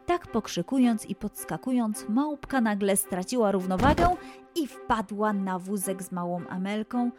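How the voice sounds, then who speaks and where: A woman storyteller narrating a scripted children's tale in an expressive, deliberate way. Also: mid-range voice.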